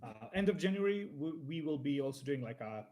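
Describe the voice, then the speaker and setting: A young man from Croatia, a native Croatian speaker, chatting casually in English with a relaxed, laid-back manner and repeated words. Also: fairly high pitch, brisk. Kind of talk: casual live-stream chat.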